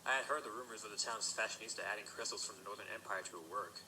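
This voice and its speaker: A man's voice: on the low side, racing, bright, forceful tone, breathy edge, full character voice, low-quality recording. A man playing a courteous but guarded young stallion in an audio drama, dry and understated, with music behind him. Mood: musing, weary. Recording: music behind, played in reaction video.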